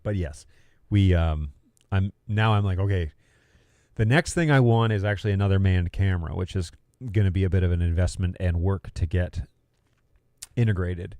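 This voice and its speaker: A man's voice: sits low, normal speed, warm tone, no echo. A man hosting a casual livestream, rambling and thinking aloud as he talks through tech. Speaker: livestream host. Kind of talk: casual livestream chat about video production gear.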